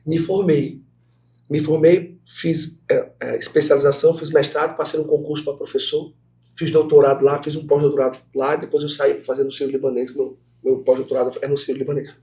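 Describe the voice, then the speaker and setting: A middle-aged Brazilian man, a guest professor, talks at a quick clip in a mellow, warm tone. His Brazilian Portuguese accent colours every story. He speaks animatedly and often turns to address the students directly. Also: a little high, little pitch movement. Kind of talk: conversational interview storytelling.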